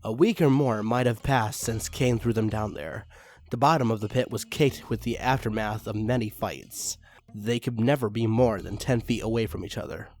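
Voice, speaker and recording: A man's voice: fairly low pitch, moderate pace, a little mellow. A man reading fan fiction aloud as a dramatic story narrator.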